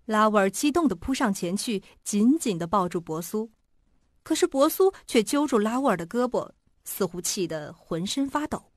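A woman's voice: mid-range voice, expressive, normal speed, no room sound, studio quality, close to an everyday voice. A woman reading scripted dramatic narration for a suspense radio play. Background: music behind the voice.

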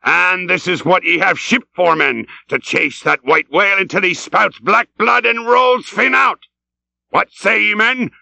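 A man's voice: high voice, measured pace, vocal fry, sharp tone, no echo, pitched above the performer's own voice, exaggerated voice. A man voicing an old, obsessed whaling captain in an audiobook, fierce and commanding, with vehement, archaic, declamatory speech. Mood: vengeful, roaring.

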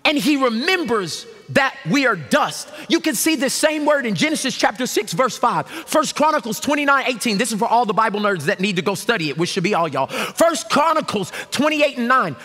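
A man in his thirties preaching a sermon from a large church stage, animated and shouting call-and-response lines to the congregation. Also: very high-pitched, fairly quick, hardly any pauses, faint music behind. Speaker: pastor. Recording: long reverb of a large hall.

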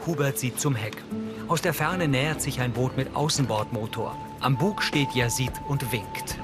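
A man's voice: mid-range voice, normal speed, over loud music. A man reading scripted audio description for a TV series in a neutral, matter-of-fact manner. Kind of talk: scripted scene narration, present tense.

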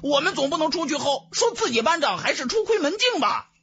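A man voicing an eager, argumentative young disciple in a fantasy audiobook, forceful and excitable. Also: very high voice, a little fast, soft background music, pitched above the performer's own voice, full character voice.

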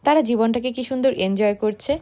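A woman's voice: on the high side, fast, warm tone. A woman narrating a family vlog in a chatty voice-over, speaking directly to viewers.

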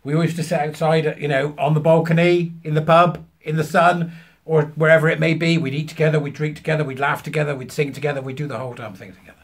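A British man in his fifties telling an anecdote to camera, emphatically acting out both sides of a conversation in a husky voice. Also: on the high side, fairly even intonation, quick pace. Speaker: vlogger. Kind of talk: animated anecdote told to camera. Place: small furnished room at home, indoors.

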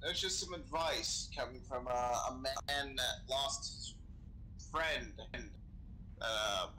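A man's voice: mid-range voice, slow pace, pushed and bright. A man improvising in character over game voice chat, explaining things in a casual, sweary way. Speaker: role-play gamer playing a crew member. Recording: noisy gaming voice chat.

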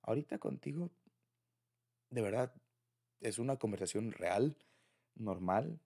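Casting: young Mexican man for intimate boyfriend audio, mid-pitched voice with rasp, confiding, casual slang. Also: animated, measured pace, mellow. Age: young adult. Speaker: audio roleplay creator speaking to a partner. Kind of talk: intimate conversational ASMR boyfriend roleplay.

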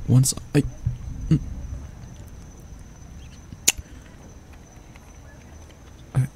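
A man playing a grumpy but soft-hearted barista, flustered and flirty, stammering and teasing in a romantic ASMR roleplay. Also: measured pace, slight background noise, natural voice. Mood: playful teasing.

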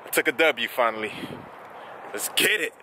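A man's voice: mid-range voice, lively intonation, normal speed, bright, forceful tone, a little hiss or hum, poor audio. A young American man chatting casually in a slangy, conversational way, as a vlogger from Orlando talking to camera. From Orlando, United States. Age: young adult. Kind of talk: casual vlog chat.

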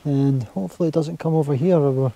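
A Scottish man talking casually to his camera while camping on a hilltop, relaxed and chatty. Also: on the high side, fast, vocal fry, rough recording. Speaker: outdoor vlogger. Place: outdoors on a windy hilltop campsite. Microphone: handheld camera or mini tripod.

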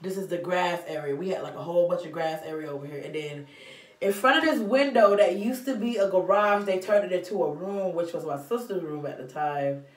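A young woman from Florida telling a storytime to the camera, animated and slangy, talking straight to her viewers. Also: fairly low pitch, brisk, distant mic. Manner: animated, gestures a lot, slangy asides to viewers. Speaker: vlogger. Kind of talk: casual storytime. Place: small bathroom indoors. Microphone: phone on a stand, mid-distance. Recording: echoey bathroom, off-mic, faint music.

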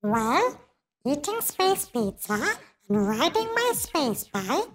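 A woman voicing a friendly young alien girl in a children's storybook, gentle and polite. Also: high voice, sing-song, takes it slowly, soft background music, voice pushed up, exaggerated voice. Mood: eager.

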